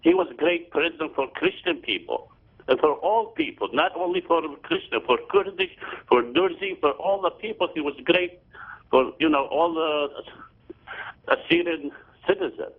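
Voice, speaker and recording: A man's voice: on the high side, moderate pace, husky. An older man from Syria calling in by phone, speaking broken English in an emphatic, repetitive way. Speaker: caller. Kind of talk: call-in phone comment. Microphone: telephone. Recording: tinny phone line.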